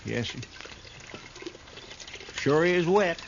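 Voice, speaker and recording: A man's voice: a little high, expressive, very slow, creaky, full character voice. A man playing an anxious, loyal deputy sidekick, folksy and deferential, in a 1950s radio western. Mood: worried. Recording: very noisy, dull old radio recording.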